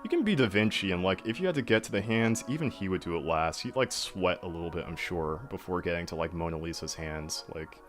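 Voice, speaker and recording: A deep-voiced man tells stories in an animated, easygoing way, packing his sentences with casual fillers. Faint music plays underneath. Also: a little fast, few pauses. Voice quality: deeper than average voice. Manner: animated storyteller, filler-heavy, laughs easily. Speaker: guest artist. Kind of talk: casual video call chat.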